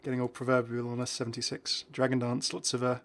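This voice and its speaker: A man's voice: mid-range voice, monotone, fast talker. A man giving casual, enthusiastic game commentary in an informal, chuckly way. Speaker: video creator presenting game content.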